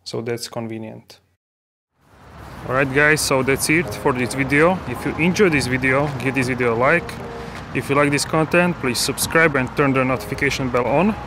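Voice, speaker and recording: A man in his thirties, speaking as an at a middle pitch, walks through a casual review in an easy, halting way, full of fillers and restarts. He holds a phone camera in a parked car, with faint ambient noise behind him. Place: inside a parked car, then outdoors at a dealership lot. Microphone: handheld phone camera.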